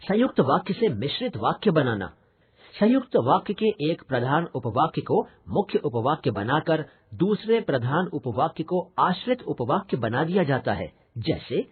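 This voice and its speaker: A man's voice: fairly high pitch, slightly rough, exaggerated voice. A man voicing an inquisitive schoolboy pupil in a scripted grammar lesson, reading in an instructional manner. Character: Rahul, schoolboy pupil, inquisitive younger brother. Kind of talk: scripted educational narration and dialogue. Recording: muffled narrow phone-like sound.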